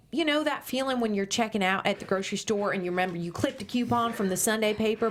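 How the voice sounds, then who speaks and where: A woman's voice: mid-range voice, a little hiss or hum, lightly acted. A woman reading the part of a prim, repressed, churchgoing middle-aged mother in a script table read, stiff and easily flustered.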